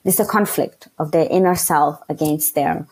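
A young woman from Afghanistan with a low, husky voice talks candidly in a relaxed interview. She is outspoken and unabashed, and she often hesitates with fillers while she looks for the right word. Her tone is conversational. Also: normal speed. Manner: frank and outspoken, searches for words with many fillers. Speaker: interview guest.

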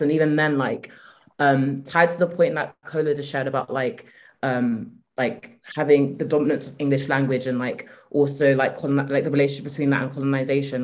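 A woman speaking as a panelist on a video call, relaxed and informal, with many "like"s. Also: rumbling low. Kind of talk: casual panel discussion.

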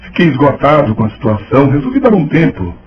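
An actor playing an evasive, gently teasing journalist in a radio soap. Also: male voice, medium pitch, wildly swooping pitch, quick pace. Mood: confiding. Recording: dull lo-fi sound.

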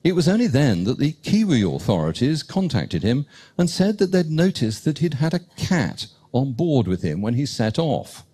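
Wry man telling an anecdote, husky and mellow. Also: medium pitch, moderate pace. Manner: lively, wry anecdote delivery. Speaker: cruise ship lecturer. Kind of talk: storytelling lecture.

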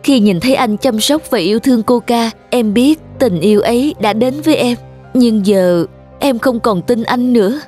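Woman as young snow maiden, cartoon fairy tale, gentle, solemn, high voice, music behind. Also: normal speed, breathy edge. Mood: sorrowful.

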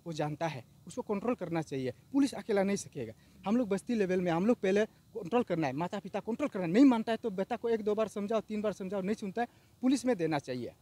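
A man's voice: sits high, darkish tone. Earnest man speaking outdoors by a river. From Arunachal Pradesh. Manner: earnest, repetitive, appealing. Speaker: vox pop interviewee. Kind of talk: street interview. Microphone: handheld interviewer mic.

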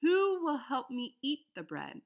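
A woman voicing an industrious, self-reliant little red hen in a storybook, with emphatic, drawn-out delivery. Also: high voice, wildly swooping pitch, voice pushed up, exaggerated voice. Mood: firm.

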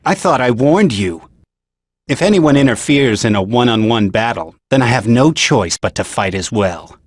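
An actor playing a calm young male android fighter in an anime game, measured and coolly threatening. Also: mid-range voice, slight background noise, lightly acted. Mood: cool warning. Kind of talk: dubbed anime video game acting.

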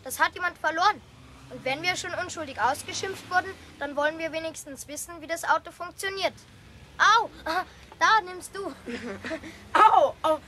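A bright cheeky boy. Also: very high voice, expressive, a little hiss or hum, exaggerated voice. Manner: cheeky, quick banter. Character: cheeky boy, curious and bold. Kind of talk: children's radio play.

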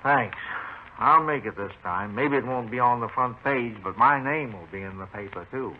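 An actor playing a talkative, eccentric older widowed neighbor in a 1950s radio drama, rambling and hesitant in a folksy way. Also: male voice, mid-range voice, lightly acted. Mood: eager, proud. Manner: rambling, unhurried. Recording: tinny, muffled 1950s radio recording, faint noise.

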